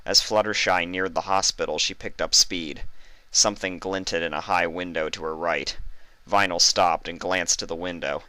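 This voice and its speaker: A man's voice: sits low, steady tone, moderate pace, sharp tone, dry recording. A man reading a fan fiction story aloud as scripted narration.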